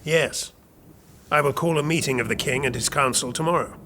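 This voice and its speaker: A man's voice: a little high, brisk, background noise, natural voice. A man playing a scheming, disdainful medieval royal chamberlain in an audio drama, with formal, condescending speech. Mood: measured, guarded. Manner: smooth-worded, condescending, formal. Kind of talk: historical audio drama acting.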